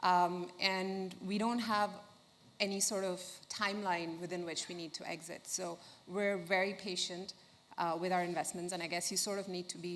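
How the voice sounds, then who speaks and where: Woman from india on an investor panel, lowish voice, faint hum behind. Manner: measured, with frequent "sort of". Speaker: investor, fund manager. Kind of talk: panel discussion. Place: conference hall stage.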